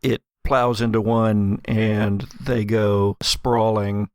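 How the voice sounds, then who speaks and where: A man running a horror tabletop roleplaying game as game master, narrating descriptively with drawn-out emphasis. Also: fairly low pitch, little pitch movement, darkish tone, smooth, no room sound, clean studio sound.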